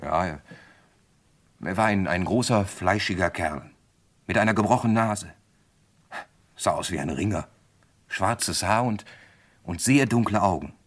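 An uneasy bridegroom in a radio drama. His voice is low and subdued, and he speaks hesitantly. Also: measured pace, long pauses, slightly rough. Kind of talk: German crime radio play acting.

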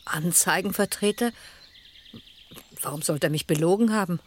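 A woman's voice: fairly low pitch, normal speed, slight background noise, lightly acted. An actress playing a grieving, troubled lady spa guest and narrator in a radio crime drama, composed and reflective. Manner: composed, reflective, literary phrasing. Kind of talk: 1970s German radio drama acting.

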